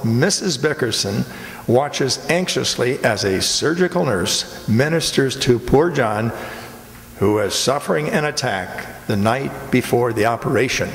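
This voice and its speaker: A man's voice: mid-range voice, animated, unhurried, creaky. A man announcing and narrating a scripted old-time radio comedy in a reverberant hall. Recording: echoey hall, long reverb, background noise.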